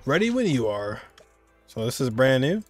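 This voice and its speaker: Young male streamer, mid-pitched, casual reaction commentary over faint game music. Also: big pitch swings, moderate pace. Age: young adult.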